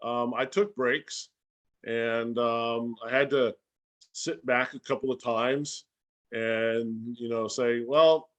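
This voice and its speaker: Male guest educator, medium pitch, casual and folksy explainer who voices imagined dialogue. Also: takes it slowly. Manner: animated, acts out imagined dialogue. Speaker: guest speaker and science educator.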